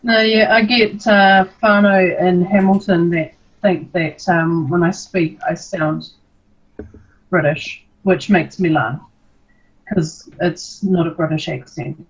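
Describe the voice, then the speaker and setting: A middle-aged New Zealand woman chats on a livestream, giggly and easygoing. Her voice is husky and breathy, and the audio comes through dull and lo-fi. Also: lowish voice, fairly even intonation, slow. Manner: giggly, chatty. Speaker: co-host. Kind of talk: casual livestream chat.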